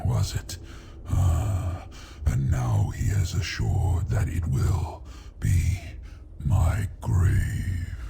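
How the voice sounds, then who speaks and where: Ancient dragon, very deep and gravelly. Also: male voice, background noise, full character voice. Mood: weary. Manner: slow, portentous, archaic phrasing. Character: ancient weary male dragon, solemn and grave. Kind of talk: fantasy video game acting.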